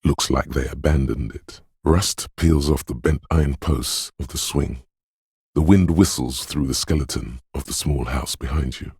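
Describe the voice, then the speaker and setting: Brooding inner voice, rock-bottom pitch, very breathy rasp, slow, solemn, ominous, poetic game narration. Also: male voice, darkish tone, no room sound, studio quality, exaggerated voice. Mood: melancholy, dreamlike. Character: brooding, dreamlike inner voice of a troubled man's intuition. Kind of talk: scripted video game narration, second person.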